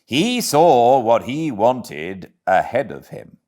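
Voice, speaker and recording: A British man narrating a classic storybook as an audiobook reader, with expressive delivery. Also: medium pitch, takes it slowly. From Britain.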